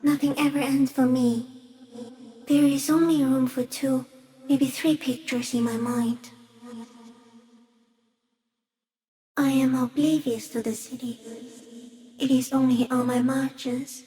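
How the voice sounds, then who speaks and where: Game creature: a detached, curious stick insect. High, mellow, soft and halting. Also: female voice, flat delivery. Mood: detached, calm. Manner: slow, halting, deliberate musing in short fragments. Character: ancient, serene, otherworldly giant stick insect, detached and curious. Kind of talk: video game character voice acting.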